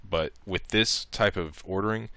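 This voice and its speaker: Deep-voiced man narrating a casual tutorial. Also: a little slow, creaky, bright, forceful tone, dry recording. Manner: informal, thinking aloud as he works.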